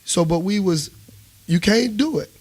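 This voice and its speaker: Higher-pitched man in a dry studio. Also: lively intonation, a little slow, darkish tone, husky, dry recording. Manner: conversational, repeats phrases for emphasis. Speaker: musician and bandleader being interviewed. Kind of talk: casual radio interview.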